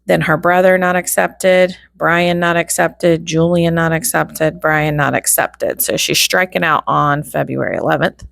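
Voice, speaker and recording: A woman's voice: low voice, steady tone, a little slow, creaky, few pauses, a bit bright, no room sound. A woman hosting true crime commentary, reading through a log informally and item by item, with wry asides.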